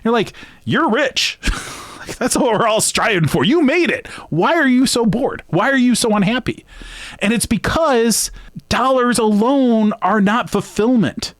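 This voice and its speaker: A man hosting a sports betting channel, talking alone to his viewers in a rambling, earnest, conversational way that swings between emphatic bursts and hushed asides. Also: extremely high pitch, lively intonation, measured pace, dry recording, studio quality. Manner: long-winded. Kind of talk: reflective solo monologue to camera.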